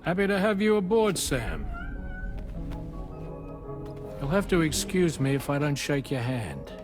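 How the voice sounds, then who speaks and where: A man's voice: fairly high pitch, big pitch swings, normal speed, over music. A man acting an affable ship captain and doctor in a video game, speaking in a welcoming way.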